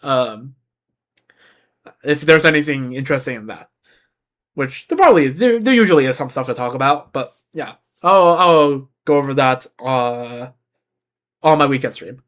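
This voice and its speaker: A man's voice: medium pitch, animated, moderate pace, long pauses, fairly bright tone. A young man, a native Chinese speaker from Beijing, chatting casually as a game streamer in a rambling, self-correcting way. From China (Beijing).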